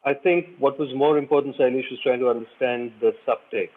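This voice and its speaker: A man from the subcontinent, an actor giving measured, thoughtful answers in an interview. His mid-pitched voice comes through a thin, tinny phone-like line. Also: fairly even intonation.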